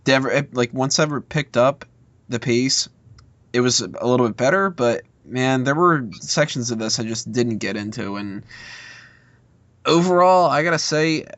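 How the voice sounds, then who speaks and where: Male podcast panelist, medium pitch, informal film chat, dry sound. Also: gravelly, fairly bright tone, dry recording, muffled recording.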